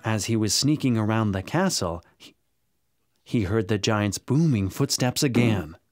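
A man narrating a scripted children's bedtime story in a dramatic, expressive way. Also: fairly low pitch, unhurried. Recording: music under the narration. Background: music.

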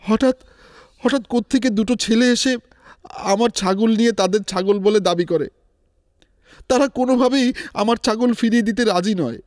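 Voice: mid-range voice, a little fast, long pauses, no room sound, studio quality, natural voice. A voice actor playing a poor, wronged male goat owner from a village in a cartoon, plaintive and protesting. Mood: aggrieved, sorrowful. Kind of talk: cartoon dialogue. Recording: music bed under the voice. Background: music.

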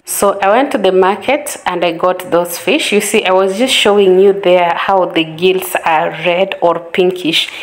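A woman's voice: medium pitch, rough recording. A woman with a Kenyan English accent talks a home audience through kitchen prep in an easy, instructive way, often repeating her points. She is recorded at her kitchen sink by a camera set on the counter. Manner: instructive, repeats advice for emphasis. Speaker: home cooking vlogger. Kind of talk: casual how-to narration.

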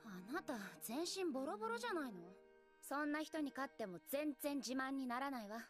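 A woman's voice: high voice, animated, a little fast, faint music behind. A woman voicing a cool, confident teenage girl sniper in anime dialogue, self-assured and teasing.

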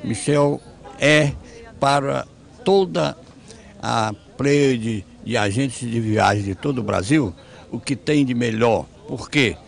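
An elderly man in his seventies gives a tribute outdoors. His voice is gravelly and middling in pitch. He speaks haltingly into a handheld microphone, searching for his words. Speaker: former trade union president, interviewee. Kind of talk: spoken tribute in a TV interview. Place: city square outdoors at night. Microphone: handheld reporter microphone.

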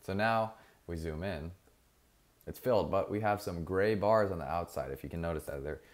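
A man narrating a screen-recorded coding tutorial in a casual, relaxed way, with frequent fillers and self-corrections. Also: deep voice, moderate pace. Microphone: voice-over over screen recording.

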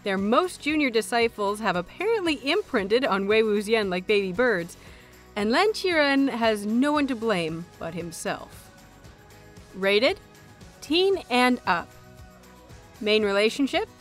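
A woman hosting and narrating audio fiction in an expressive audiobook style. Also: on the high side, measured pace, faint music behind. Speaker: host and narrator of an audio fanfiction show.

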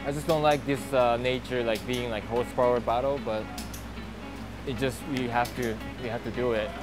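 A man in his thirties, a professional drift driver, giving a relaxed and halting outdoor interview on a lapel mic at a racetrack paddock. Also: medium pitch, music in the background. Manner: relaxed, halting, many fillers. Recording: noisy outdoor racetrack recording.

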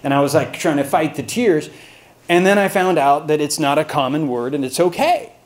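Higher-pitched American man giving an informal talk. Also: normal speed. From Austin, Texas, United States. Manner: self-deprecating, rambling, jokey storytelling. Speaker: university lecturer giving a talk. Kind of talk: informal humorous lecture to colleagues.

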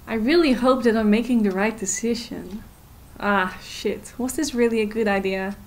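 High-voiced emotional young woman, played by an actress. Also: normal speed, natural voice. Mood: anxious, reflective. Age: young adult. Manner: emotional, confiding. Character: Rue, hurt but determined young woman, aspiring artist. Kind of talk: audio drama acting.

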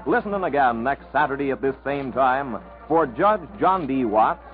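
A man's voice: mid-range voice, lively intonation, moderate pace, loud music behind the voice. An American man announcing and reading an advertisement in 1940s radio style. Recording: narrow, noisy vintage 1940s radio broadcast.

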